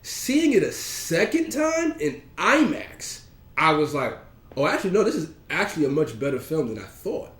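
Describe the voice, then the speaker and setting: A man's voice: a little high, big pitch swings, moderate pace, fairly bright tone. A man with a cold and a slightly nasal voice, co-hosting a casual podcast chat, rambling with lots of ums and restarts. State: has a cold, a bit nasal. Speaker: podcast co-host. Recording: echoey room.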